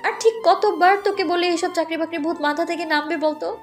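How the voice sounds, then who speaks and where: Very high-pitched headstrong younger sister woman acting. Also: steady tone, brisk, faint music behind. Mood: annoyed, insistent. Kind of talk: audio story acting.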